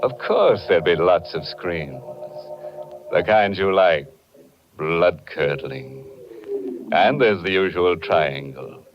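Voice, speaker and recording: A sardonic, macabre male host of an old radio horror show. He speaks in a low voice, very slowly and slyly, on a dull vintage recording. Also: animated. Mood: gleefully macabre. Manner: sly, macabre, tongue-in-cheek. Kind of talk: 1940s radio horror hosting. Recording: muffled 1940s radio, organ-era music behind.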